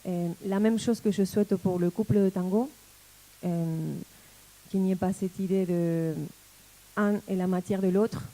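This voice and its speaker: A woman from Argentina in her forties with an Argentine accent, a tango dancer and teacher, speaking in a thoughtful, halting way as she searches for words. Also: mid-range voice, steady tone, dark tone, low-quality recording. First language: Spanish. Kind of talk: personal reflective talk.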